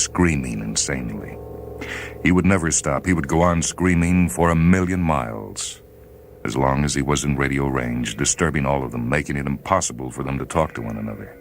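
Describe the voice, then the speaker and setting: A man reading a short story as dramatic narration, grave and measured, with theatrical emphasis. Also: very deep voice, gravelly, soft background music. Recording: faint noise and ambient sound bed. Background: faint ambient sound.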